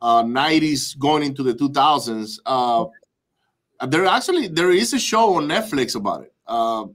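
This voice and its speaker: A man's voice: mid-range voice, expressive, moderate pace, a bit bright. A man from Venezuela hosting a podcast interview, talking in a casual, chatty way with many "uh" fillers. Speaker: podcast host.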